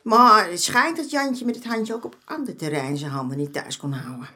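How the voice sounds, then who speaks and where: A woman's voice: fairly low pitch, normal speed, sharp tone. An actress playing a streetwise, motherly older woman in a radio drama, talking bluntly and familiarly. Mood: confiding, indignant. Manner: familiar, blunt, calls men "jongen". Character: streetwise, motherly older woman of the red-light district, frank. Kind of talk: naturalistic Dutch radio drama acting.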